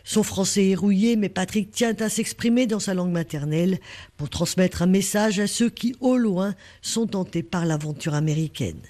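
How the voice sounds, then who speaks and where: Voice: medium pitch, moderate pace, dark tone. A reporter narrating a scripted news report.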